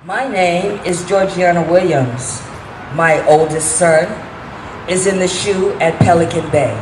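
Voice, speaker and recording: A woman giving a dramatic reading as an earnest relative of a prisoner, in a measured, careful way. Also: on the low side. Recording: echoey, distant mic, background noise.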